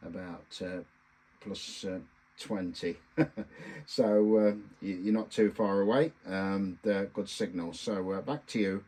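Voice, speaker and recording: A man giving a casual hands-on demonstration as a radio hobbyist host, speaking in a halting, hesitant way with pauses. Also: low-pitched, dark tone.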